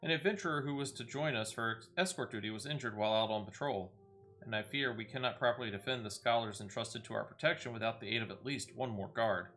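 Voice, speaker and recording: A man's voice: medium pitch, quick pace, a bit bright, faint music behind, natural voice. A man voicing a dutiful escort guard in a fantasy video game, formal and deferential with archaic phrasing. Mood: respectful.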